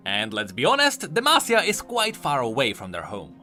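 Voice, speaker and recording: A man narrating a scripted explainer as host, with animated, emphatic commentary. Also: mid-range voice, moderate pace. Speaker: host of a game lore video. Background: music.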